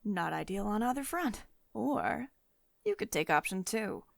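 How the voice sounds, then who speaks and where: A woman playing a commanding, possessive billionaire CEO in an intimate ASMR roleplay, speaking in a measured, condescending, coaxing way to one listener. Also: mid-range voice, big pitch swings, no room sound, studio quality, full character voice. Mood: businesslike, insistent. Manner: measured, condescending pet names, drops into breathy whispers.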